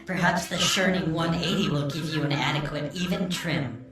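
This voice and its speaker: A man's voice: a little high, steady tone, normal speed, lightly acted. A man playing a patient, deferential shop clerk in a radio serial, polite and formal. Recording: roomy echo.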